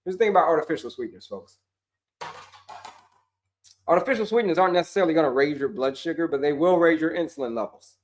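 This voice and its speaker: A man coaching on diabetes, answering viewer questions in a casual, emphatic way, repeating points and dropping slang. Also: on the high side. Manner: repetitive, emphatic, slangy, addresses viewers as folks.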